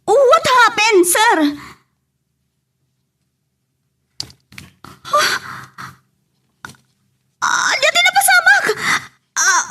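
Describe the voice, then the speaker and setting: Very high, distraught Ilocano woman actress. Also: big pitch swings, bright, forceful tone, heavily acted. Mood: shocked. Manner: distraught, halting. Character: alarmed woman taking a shocking phone call. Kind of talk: melodramatic radio-style drama acting.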